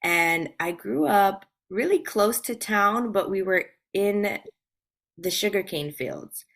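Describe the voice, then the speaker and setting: A woman's voice: mid-range voice, animated, takes it slowly, gravelly, a bit bright. A woman from Hawaii, around her thirties or forties, speaking as a guest in a reflective, informal, conversational way. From Hawaii, USA. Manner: reflective, informal, uses "like" often. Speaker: guest, life coach and former therapist. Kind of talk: casual personal storytelling.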